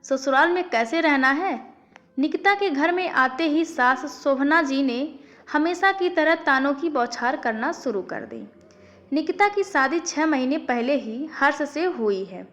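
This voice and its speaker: A woman's voice: high-pitched, big pitch swings, unhurried, fairly bright tone. A woman storyteller reading a scripted family moral story in audiobook narration. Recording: faint background music. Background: faint music.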